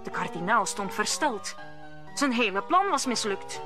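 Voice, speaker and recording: A woman's voice: fairly high pitch, sing-song, measured pace. A woman narrator telling the story of a radio play in scripted storytelling style. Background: music.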